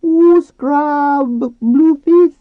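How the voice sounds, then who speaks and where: An eager, playful female dolphin voiced by a man for an audiobook. The voice is a very high, squeaky falsetto, speaking insistently in clipped pidgin phrases. The sound is close, dry and slightly dull. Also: extremely slow, dry recording, full character voice. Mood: excited, hopeful. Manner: broken, clipped pidgin in short insistent bursts. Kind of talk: audiobook character voice.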